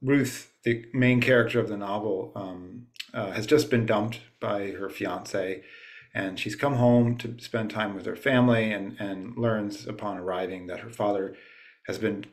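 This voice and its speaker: A low-pitched man hosts a book club from home over a video call. He speaks haltingly, with many fillers, as he introduces a guest author. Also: steady tone. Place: at home late at night.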